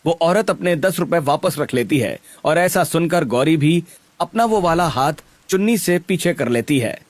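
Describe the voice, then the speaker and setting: Lively higher-pitched male story narrator. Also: normal speed, no room sound. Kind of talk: scripted cartoon story narration.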